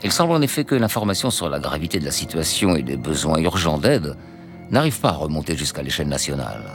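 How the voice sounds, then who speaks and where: A man narrating a documentary in a measured, serious tone. His voice is very deep. Music plays clearly behind him. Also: very animated.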